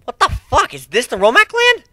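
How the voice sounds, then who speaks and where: Man as griffin adventurer, brash, sardonic, blunt, tense forceful tone, dry sound, storybook drama. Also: extremely high pitch, wildly swooping pitch, moderate pace, dry recording, voice pushed up, full character voice. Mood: alarmed, commanding. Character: brash, cocky, sarcastic male griffin adventurer.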